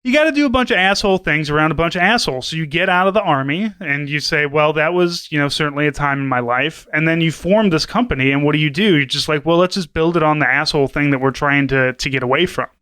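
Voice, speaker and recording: A man's voice: on the high side, fast, few pauses, bright, forceful tone, clear, resonant tone, no room sound. A man co-hosting a podcast, giving casual, opinionated commentary in a profane, sardonic way. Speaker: podcast co-host.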